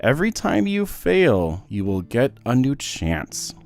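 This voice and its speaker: A man's voice: mid-range voice, slow, full character voice. A man voicing a patient, encouraging father who reassures and teaches his young son in a children's storybook. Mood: instructive. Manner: reassuring, instructive, animated.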